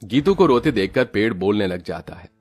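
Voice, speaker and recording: Male narrator, fairly low voice, scripted fable storytelling with faint music. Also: very animated, brisk.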